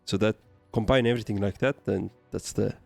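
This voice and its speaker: A man's voice: fairly low pitch, fairly even intonation, brisk, gravelly, a little mellow, dry recording, faint music behind. A man from Estonia with an Estonian accent, casually commentating on a game and chatting as a livestreamer. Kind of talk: casual live gameplay commentary and chat.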